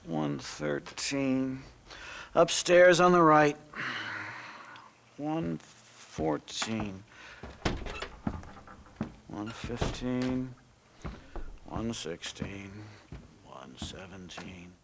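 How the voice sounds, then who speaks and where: A preoccupied clerk in a western game. He mutters his count extremely slowly in a gravelly, creaky voice. Clear noise runs behind him. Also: medium pitch.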